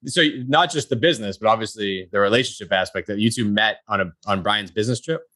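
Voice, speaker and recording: A man's voice: on the low side, fast talker, bright, forceful tone, no room sound. A man hosting a local business podcast, reading an introduction and then chatting loosely with his guests. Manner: friendly, reads intro then chats loosely. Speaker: podcast host. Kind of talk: casual interview chat.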